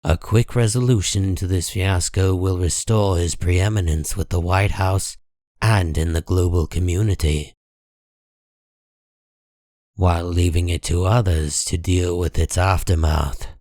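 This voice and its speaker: A man narrating a comic book drama from a script in a grave, deliberate manner. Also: low voice, steady tone, darkish tone, no room sound, clean studio sound.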